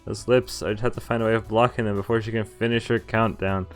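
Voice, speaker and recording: A man's voice: lowish voice, fairly even intonation, fairly quick. A man streaming a game, reading visual novel text aloud and reacting casually. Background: game music.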